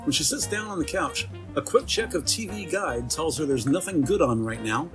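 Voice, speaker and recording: A man reading scripted audio drama narration in a measured, detailed storytelling manner. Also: fairly high pitch, very animated. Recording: music behind the voice.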